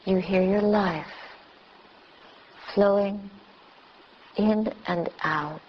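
Slow-paced woman guiding meditation, noisy dull recording. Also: medium pitch. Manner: unhurried short phrases with long pauses. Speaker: meditation teacher. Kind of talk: guided meditation. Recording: dull lo-fi recording with clear background noise.

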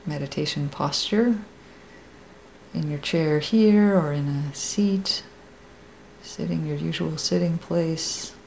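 A woman meditation teacher leading a guided meditation in a gentle, soft, creaky, unhurried voice with long pauses. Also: deep voice, slight background noise, low-quality recording.

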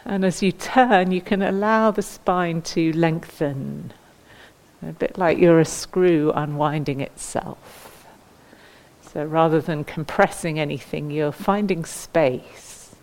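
Female meditation and movement teacher, low voice, gentle and unhurried guidance, leaves space between phrases. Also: fairly even intonation, rough recording. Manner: gentle, unhurried, inviting, with pauses. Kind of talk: guided mindful movement instruction.

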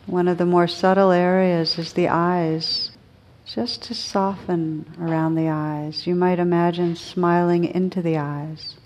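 A woman teaching a guided meditation in a gentle, soothing, unhurried manner with long pauses between phrases. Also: on the low side, level tone, a little hiss or hum.

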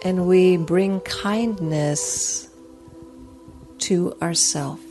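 A woman leading a guided meditation as a teacher, speaking in a soothing, gentle, unhurried way with long pauses. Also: fairly low pitch, soft background music.

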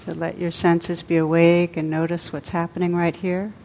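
A woman meditation teacher leading a guided meditation, speaking softly and unhurriedly with long pauses between phrases. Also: lowish voice, little pitch movement, slight background noise.

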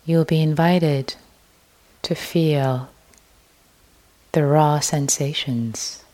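Slow, very low-voiced woman meditation teacher. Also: steady tone. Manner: slow, soft, gentle guidance with long pauses; drifts into an unvoiced whisper at times. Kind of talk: guided meditation.

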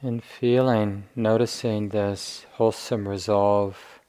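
A man with a fairly low, very even voice leads a guided meditation. He speaks very slowly and gently, leaving long pauses between short, deliberate phrases. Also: smooth. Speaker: meditation teacher.

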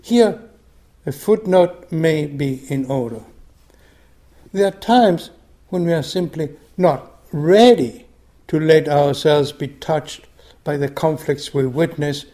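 A man's voice: a little high, slow pace, dark tone. An older man from Argentina, giving a reflective meditation talk with frequent restarts. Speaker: meditation group teacher. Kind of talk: dharma talk.

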